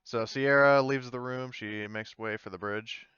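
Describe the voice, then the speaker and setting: A man acting as game master, casually narrating a tabletop game and often pausing to think aloud. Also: mid-range voice, steady tone, sharp tone, clear, resonant tone, dry recording, highs cut off.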